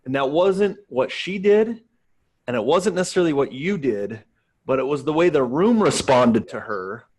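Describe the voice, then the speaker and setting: A man's voice: medium pitch, lively intonation, moderate pace, a little mellow, slightly rough. A man hosting a podcast, chatting casually in an earnest, emphatic way and speaking directly to listeners. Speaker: podcast host. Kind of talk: casual interview chat.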